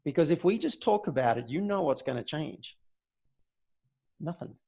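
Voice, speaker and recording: A man speaking as a coach in a conversational roleplay, measured and deliberate, often pausing mid-phrase. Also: fairly high pitch, expressive, gravelly, mellow, slightly rough. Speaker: host, communication coach.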